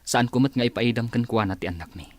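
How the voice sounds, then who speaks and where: A man playing a reasonable, protective family man in a radio drama, speaking in a measured and respectful but firm way. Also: medium pitch, darkish tone, no echo, natural voice. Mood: earnest, respectful. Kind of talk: Ilocano radio drama acting.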